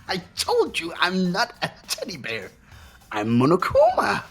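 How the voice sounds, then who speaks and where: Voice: medium pitch, huge pitch swings, measured pace, a bit bright, slightly rough, soft background music, heavily acted. A performer voicing a gleefully sadistic robot teddy-bear headmaster in a fan game dub, theatrical and mock-polite. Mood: mock offense. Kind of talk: fan voice acting of a video game.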